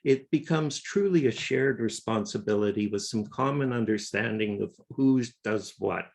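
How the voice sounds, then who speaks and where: A man wrapping up a conference presentation over a video call, explaining clearly. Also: medium pitch.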